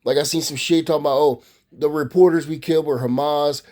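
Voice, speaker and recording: A man's voice: on the high side, fairly even intonation, fast talker, a little mellow. Profane, rambling American man. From Maryland, USA. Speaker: vlogger. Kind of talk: casual rambling monologue.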